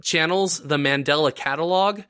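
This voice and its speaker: Bright-voiced man on a casual podcast. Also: a little high, moderate pace, smooth. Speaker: podcast host leading the episode.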